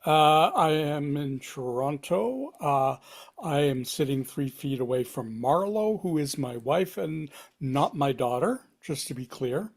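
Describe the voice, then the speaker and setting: A man introduces himself casually at a slow pace. He is hesitant, with a wry, offhand manner. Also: fairly high pitch, expressive. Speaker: actor at a table read.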